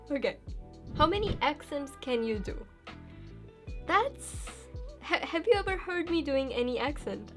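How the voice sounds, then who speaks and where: A young woman answering viewer questions in a casual vlog, chatty and quick to laugh. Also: sits high. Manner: chatty, laughs easily, reads questions aloud then answers.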